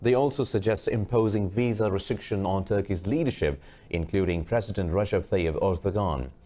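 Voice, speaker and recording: A man reading a scripted news bulletin as anchor, formal and measured. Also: sits low, warm tone.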